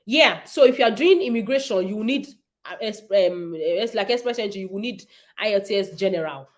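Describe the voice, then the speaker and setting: A woman's voice: medium pitch, animated, brisk. A woman, hosting a casual livestream and answering viewers' nursing questions in an emphatic, repetitive way.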